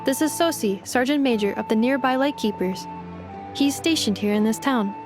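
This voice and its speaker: Actress as a courteous game robot: high, precise, formal, composed. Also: female voice, moderate pace, over music, lightly acted. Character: polite, methodical female robot assistant. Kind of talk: video game character dialogue.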